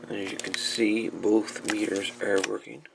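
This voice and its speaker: A man with a gravelly voice giving a casual, folksy hands-on demonstration as a hobbyist repairer. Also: fairly low pitch, little pitch movement, measured pace, noisy background. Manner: informal, folksy, calls the radio "her". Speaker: radio repair hobbyist presenting a how-to video.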